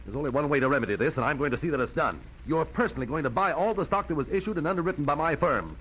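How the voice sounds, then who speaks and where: A pompous, stern businessman in an old 1940s radio drama. He talks quickly in clipped, formal phrases with a haughty edge. The recording carries a faint layer of noise. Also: a little high, expressive, a bit bright, rough recording. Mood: furious. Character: stern, indignant, pompous businessman older brother.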